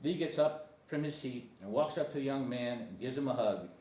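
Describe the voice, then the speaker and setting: Expressive male narrator in an echoey room. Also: mid-range voice, moderate pace. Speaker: narrator at a screenplay table reading. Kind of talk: scripted narration of stage directions. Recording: echoey room, distant mic, faint noise.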